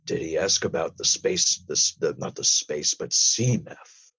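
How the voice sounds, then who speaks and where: Man doing a husky, stern whale interrogator. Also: measured pace, fairly bright tone, exaggerated voice. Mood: stern, matter-of-fact. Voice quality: husky, low. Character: gruff whale interrogator hunting crab drug smugglers. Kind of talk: improvised audio drama.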